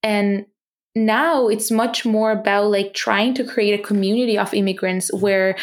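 A young woman speaks English with a noticeable foreign accent. She talks earnestly and with passion, often restarting her sentences and using fillers. Her pitch sits in the middle of the range. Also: fairly even intonation, a little slow. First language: not English. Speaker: podcast host. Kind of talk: personal talk about her project.

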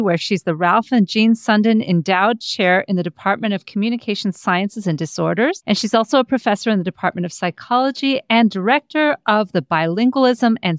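A woman's voice: medium pitch, no echo, clean studio sound. Enthusiastic woman podcast host. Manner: enthusiastic, scripted intro then conversational questions. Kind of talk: interview podcast hosting.

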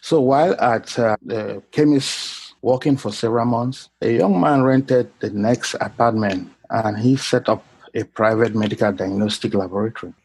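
A middle-aged man from Cameroon telling his life story in an interview, polite and unhurried. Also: medium pitch, darkish tone, husky, no echo, rough recording. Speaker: guest, clinical lab scientist. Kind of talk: casual interview.

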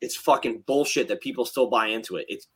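A man's voice: medium pitch, fast, fairly bright tone, slightly rough. A man in his late thirties, a firefighter hosting a casual chat, talks bluntly and heatedly and swears often. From New Jersey. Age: 30s. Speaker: firefighter podcast host.

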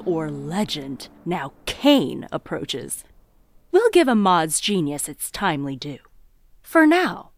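A wry, animated storyteller. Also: female voice, a little high, dry recording, studio quality, heavily acted. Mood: arch, teasing. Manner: arch, theatrical. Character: wry, knowing female storyteller of a dark court tale. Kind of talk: dramatic narration in an audio play.

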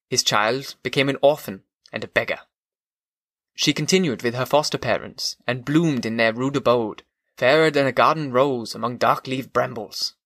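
An earnest gentleman, acted by a male audiobook reader, recounts his life with a German accent. His delivery is formal and measured, in a medium pitch. The recording is dry and free of room sound. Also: no room sound, close to an everyday voice. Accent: put-on German. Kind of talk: audiobook narration of classic novel.

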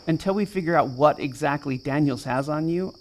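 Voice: medium pitch, brisk, close to an everyday voice. A performer in an audio drama playing a worried, self-blaming friend with dry humour. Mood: weary, worried. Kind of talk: naturalistic audio drama acting.

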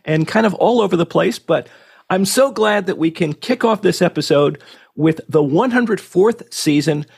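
Hoarse, raspy-voiced man hosting radio. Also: high-pitched, lively intonation, moderate pace, a little mellow. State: hoarse from illness. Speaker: radio podcast host. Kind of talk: interview introduction.

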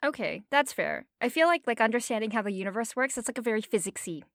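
Lively high-voiced woman podcaster. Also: quick pace, no echo, clean studio sound. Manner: lively, questioning, laughs often. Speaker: podcast co-host, statistician. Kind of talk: casual chat.

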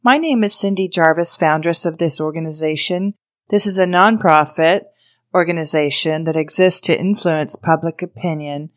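Woman, low pitch, dry close recording, scripted podcast narration. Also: no room sound. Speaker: podcast host. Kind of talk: scripted narration, reading a news story aloud.

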